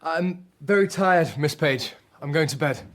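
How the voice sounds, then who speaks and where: An actor playing a weary, principled young doctor in a radio drama, speaking in an earnest, wry way. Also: male voice, a little high, measured pace, fairly bright tone, a little hiss or hum. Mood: weary, guarded.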